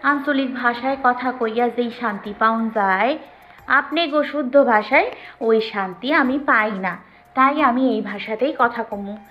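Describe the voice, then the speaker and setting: Blunt proud teenage village bride played by a woman, rural Bangladeshi accent, high-pitched, audio drama, dull recording over music. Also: a little slow, natural voice. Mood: defiant. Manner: blunt, defiant dialect speech. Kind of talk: audio story drama acting.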